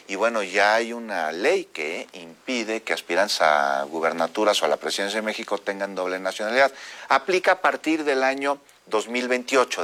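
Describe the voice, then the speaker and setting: A middle-aged man with a Mexican accent hosting a morning news radio show in a lively, chatty way. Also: fairly low pitch. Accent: Mexican Spanish. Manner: lively, chatty, reads listener messages.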